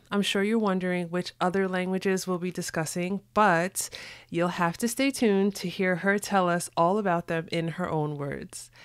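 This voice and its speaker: A woman's voice: medium pitch, clear, resonant tone, no room sound. Upbeat woman podcast host, dry sound. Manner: upbeat, enthusiastic. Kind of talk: scripted intro then interview chat.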